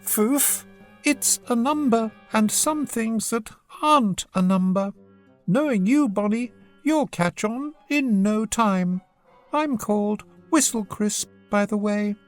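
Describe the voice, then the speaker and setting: A woman's voice: fairly high pitch, slow, soft background music, pitched above the performer's own voice. A woman voicing a kindly, gracious silver-haired deer creature in a children's storybook, polite and welcoming. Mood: cheery instructing.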